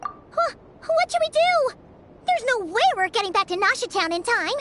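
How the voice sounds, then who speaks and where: Game fairy sidekick: extremely high, animated, childlike, excitable and cheeky. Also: female voice, normal speed, background noise. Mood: alarmed, defiant. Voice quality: squeaky, childlike. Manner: exclamatory, speaks of herself in third person. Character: small excitable floating fairy companion girl, childlike and cheeky. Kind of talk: video game voice acting. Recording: music behind the voice.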